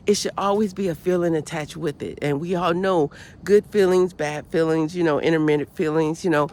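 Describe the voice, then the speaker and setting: A woman's voice: fairly low pitch, a little mellow, slight background noise. A woman hosting her own channel, nasal from a cold, giving an earnest, preachy motivational talk full of repeated phrases and "you know"s. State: has a cold, sounds nasal. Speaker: channel host. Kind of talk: motivational spiritual vlog talk.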